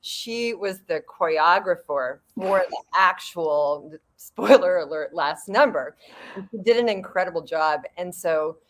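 A woman's voice: lowish voice, expressive, a little slow. A woman speaking casually as a theatre writer on a panel, over a video call from a room at home.

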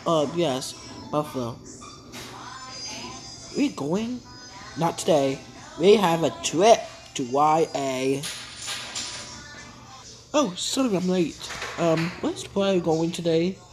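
A woman improvising a cartoon story, rambling playfully and switching into character voices. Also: low voice. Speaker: hobby animator narrating and voicing characters. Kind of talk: improvised cartoon play-along narration.

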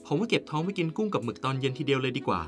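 A man's voice: medium pitch, sing-song, very fast, faint music behind, close to an everyday voice. A man playing a caring, nagging, playful partner of an older man in an audio drama, polite yet chiding. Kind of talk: Thai audio drama acting.

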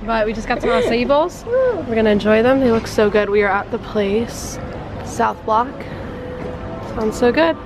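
A teenage girl chatting casually into a handheld camera outdoors, bubbly and giggly with lots of "like", her voice a bit hoarse. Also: on the high side, lively intonation, normal speed, music in the background, poor audio. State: slightly hoarse. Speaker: vlogger. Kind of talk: casual vlog chat. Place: outdoors on city streets. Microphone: handheld camera at arm's length. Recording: loud street and traffic noise.

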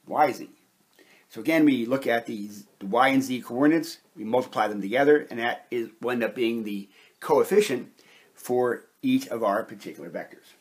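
Male lecturer, slightly rough husky tone, medium pitch, methodical step-by-step lesson delivery. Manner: methodical, explanatory. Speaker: chemistry lecturer. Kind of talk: step-by-step whiteboard lesson narration.

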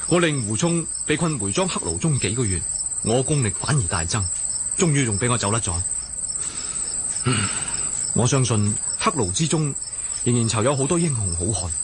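A man's voice: medium pitch, noisy background, close to an everyday voice. An actor playing a cocky young swordsman escaping a dungeon, talking theatrically to himself in a radio drama. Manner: theatrical, talks to himself, chuckles. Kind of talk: Cantonese radio drama acting. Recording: echoey hall-like reverb, music behind.

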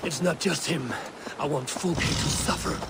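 Brooding Norse raider, noisy outdoors. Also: male voice, fairly high pitch, a little slow, creaky. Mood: grim, resolved. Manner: terse, earnest, solemn. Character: brooding, loyal Norse raider, blunt and determined. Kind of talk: dramatic video game acting.

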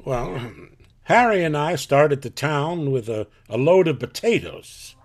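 A man playing a plain-spoken rural farmer in a live audio drama, telling his story in a folksy, halting way. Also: on the high side, expressive. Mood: rambling, earnest.

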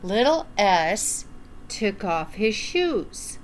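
Older woman reading very slowly, noisy recording. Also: mid-range voice, very animated. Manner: deliberate, enunciated, asking the child questions. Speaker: storyteller reading a children's picture book aloud. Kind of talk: read-aloud for young children with asides. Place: small room at home, indoors.

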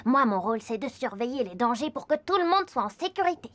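A woman's voice: a little high, big pitch swings, fast talker, a bit bright. A woman voicing a cautious, friendly young male meerkat who explains things earnestly in a preschool cartoon. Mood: friendly, proud.

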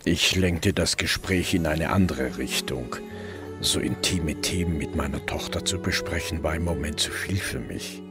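An actor playing a weary, awkward middle-aged police detective who narrates and speaks in an audio drama. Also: male voice, rumbling low, normal speed, loud music behind the voice. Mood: reflective.

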